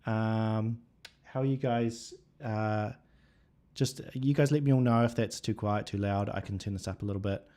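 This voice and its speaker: A man with a New Zealand accent hosting a casual livestream chat, relaxed and thinking aloud with plenty of fillers. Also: on the low side, normal speed. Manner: relaxed, thinking aloud with many fillers, reading viewer comments. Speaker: livestream host.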